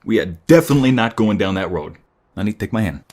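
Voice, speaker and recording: A man playing a protective, flirtatious ex-mobster husband in an intimate ASMR roleplay, tender but menacing, with breathy murmurs and a teasing manner. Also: expressive, fast, a little mellow, natural voice. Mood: urgent. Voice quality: deep, gravelly, often breathy murmur. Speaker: voice actor.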